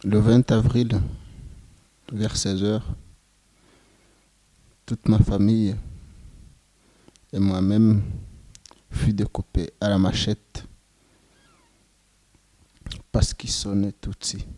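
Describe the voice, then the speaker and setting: Slow, grave young Rwandan man. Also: fairly low pitch, flat delivery, dark tone, dry recording. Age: 20s. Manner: slow, grave, measured phrasing. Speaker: genocide survivor giving testimony. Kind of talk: solemn spoken testimony.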